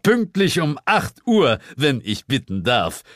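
Man acting a pompous superintendent, pitched up. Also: huge pitch swings, slow pace, a bit bright, no echo, clean studio sound, full character voice. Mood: smugly demanding. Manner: pompous, clipped, commanding. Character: pompous male superintendent, a stern, smug British boss.

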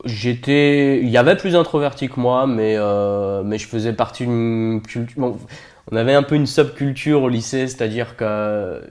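A man's voice: mid-range voice, normal speed, darkish tone. A French man in his twenties chatting casually as an interview guest, joking and self-deprecating in his manner. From France. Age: 20s.

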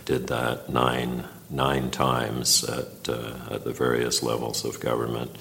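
A very deep, gravelly older man from Nova Scotia recalls his years in office at a slow, unhurried pace. He talks in a halting way, full of fillers and restarts. Music plays beneath his voice. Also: completely flat delivery, fairly bright tone. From Nova Scotia, Canada. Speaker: former provincial legislator. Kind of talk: interview storytelling.

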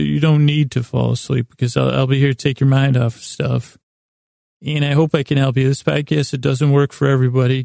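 A man hosting a sleep podcast in a rambling bedtime monologue, meandering and halting with stammers, repeats and silly digressions, in a gravelly voice. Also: medium pitch, dark tone, no echo, studio quality.

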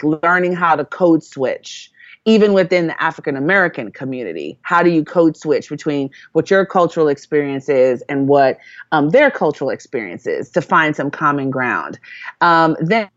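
A woman's voice: deep voice, animated, normal speed, dry recording, lo-fi sound. A woman from Jamaica, a guest in an interview, talking earnestly and thoughtfully with many fillers and restarts. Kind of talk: reflective conversational storytelling.

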